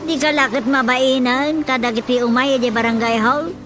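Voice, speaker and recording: A woman with an Ilocano accent playing a nagging, sharp-tongued woman in a radio drama comedy. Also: high voice, steady tone, normal speed, lightly acted. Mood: scolding, teasing. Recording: music behind the voice.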